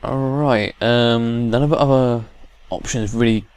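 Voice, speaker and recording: A man's voice: medium pitch, steady tone, a little slow, darkish tone, clean tone, no room sound. A man giving informal, unscripted let's play commentary, thinking aloud as he goes. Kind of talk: casual unscripted game commentary. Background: game music.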